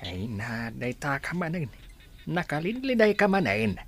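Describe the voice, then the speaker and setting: A man's voice: fairly high pitch, very animated, measured pace, a bit bright, slight background noise, full character voice. A man acting a blunt, concerned neighbour in a Filipino radio drama, with a Filipino accent.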